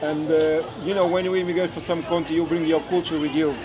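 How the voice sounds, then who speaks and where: A man from Angola, a kizomba teacher speaking haltingly in an interview, searching for his words. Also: a little high, little pitch movement, rough recording. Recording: music and crowd behind.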